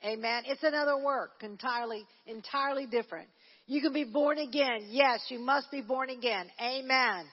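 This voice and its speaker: A woman's voice: high voice, moderate pace, husky, long reverb tail, dull audio. A woman minister delivering a Pentecostal sermon at a prayer service, conversational and full of restarts, then building into fervent preaching. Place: church sanctuary.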